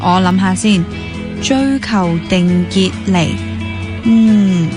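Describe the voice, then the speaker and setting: Teasing young Hong Kong woman, mid-pitched. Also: extremely slow. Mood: playful, teasing. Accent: Hong Kong Cantonese. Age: young adult. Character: inquisitive, teasing young woman, a dancer friend. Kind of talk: radio drama acting. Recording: music playing behind the dialogue.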